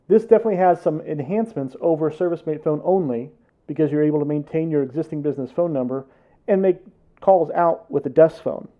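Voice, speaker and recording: A man's voice: on the high side, narrow, tinny audio. An American man presenting a product explainer as scripted voice-over narration for a tech consultancy. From United States.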